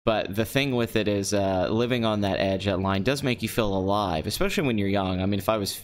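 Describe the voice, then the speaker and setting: A young man in his late twenties talks into a desk microphone with a slightly low voice. His delivery is flat and deadpan, kept low and calm. A faint hiss of background noise sits under the recording. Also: fairly quick. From Pennsylvania. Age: 20s. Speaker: live-stream host. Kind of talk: casual solo live-stream talk. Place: nearly empty apartment.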